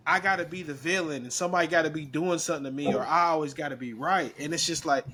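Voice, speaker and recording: A man's voice: a little high, fast talker, pushed and bright. A man in his thirties, co-hosting a podcast, telling a work story in a laid-back, slangy way. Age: 30s. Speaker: podcast co-host. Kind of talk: casual chat.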